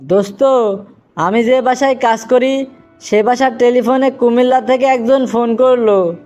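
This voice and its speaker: A man voicing an excitable young cartoon character, telling a tale in an exaggerated comic way. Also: very high-pitched, a little slow. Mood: panicked pleading. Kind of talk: scripted cartoon comedy. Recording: dull lo-fi audio with music.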